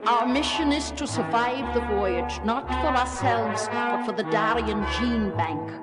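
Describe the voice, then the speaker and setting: A woman's voice: a little high, measured pace, lightly acted. A woman playing an earnest alien of a dying race in a 1970s audio drama. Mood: solemn, pleading. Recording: long reverb, loud music underneath.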